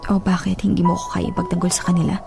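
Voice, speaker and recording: A woman's voice: on the low side, monotone, rapid-fire, creaky. An actress playing an earnest, devoted but insecure girlfriend in a Filipino radio drama. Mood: hurt, pleading. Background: soft background music.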